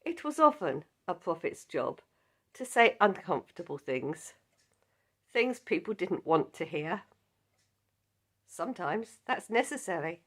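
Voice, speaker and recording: A woman leads a Bible study at an unhurried pace, her voice a little croaky. She speaks in a measured, earnest way, reading like a prepared lesson. Her pitch sits in an ordinary middle range. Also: fairly bright tone.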